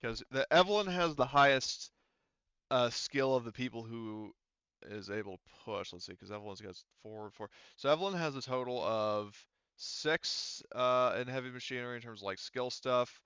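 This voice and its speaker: A man's voice: mid-range voice, moderate pace, fairly bright tone, clear, resonant tone, no room sound, dull audio. A man running a tabletop role-playing game, explaining things casually and hesitantly as he thinks aloud. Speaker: game master.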